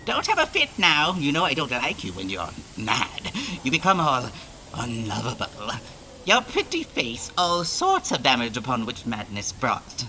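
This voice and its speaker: Prancing oily little salesman, voice all over the place, bright and slow, theatrical audio drama teasing. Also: very deep voice, wildly swooping pitch, slight background noise, heavily acted, low-quality recording. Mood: needling, syrupy. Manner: theatrical, flowery convoluted phrasing.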